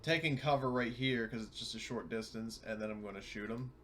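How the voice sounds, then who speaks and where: A man's voice: medium pitch, a little fast, fairly bright tone, a little hiss or hum. A man playing a tabletop roleplaying game, chatting casually and talking through his moves step by step.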